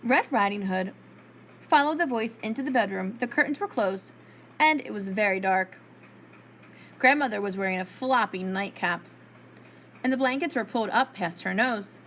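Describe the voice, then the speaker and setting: A woman reading a storybook aloud to preschool children in an expressive storyteller's style. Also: medium pitch, normal speed, pauses often, slight background noise.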